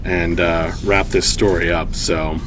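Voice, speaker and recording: A man's voice: low voice, little pitch movement, a little slow, noisy background. A man hosting a live story reading, narrating and chatting casually with hesitant, self-deprecating asides. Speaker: storytime host and reader.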